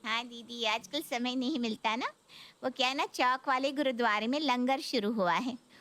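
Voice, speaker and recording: A woman's voice: on the high side, normal speed, fairly bright tone, dry recording, natural voice. A woman voicing a chatty, enthusiastic visiting aunt who talks emphatically to her elder sister in a cartoon story. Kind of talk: Hindi cartoon story voice acting.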